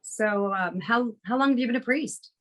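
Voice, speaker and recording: A woman's voice: a little high, moderate pace, a bit bright, lightly acted. A woman playing a kindly, apologetic middle-aged prostitute in a screenplay table read, tentative and polite. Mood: curious, apologetic.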